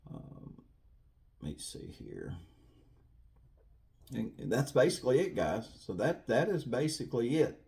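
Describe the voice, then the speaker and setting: A man's voice: fairly low pitch, creaky, a little mellow. A man giving casual, rambling commentary to viewers in an earnest, folksy way, repeating himself for emphasis. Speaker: true crime video host.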